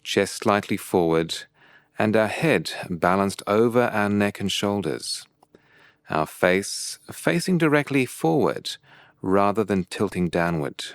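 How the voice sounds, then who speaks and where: A man leading a guided meditation as a teacher, with calm, measured and deliberate phrasing broken by pauses. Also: on the low side, dry recording.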